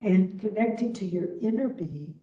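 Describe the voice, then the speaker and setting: A woman leading a guided meditation as instructor, gently and unhurriedly, leaving long pauses between phrases. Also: medium pitch, animated, dull audio. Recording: roomy, distant webinar audio.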